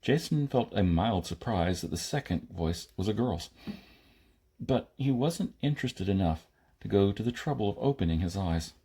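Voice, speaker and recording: Dark, husky male audiobook narrator. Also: on the low side, expressive, normal speed. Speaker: audiobook reader. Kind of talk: scripted narration of a science fiction story.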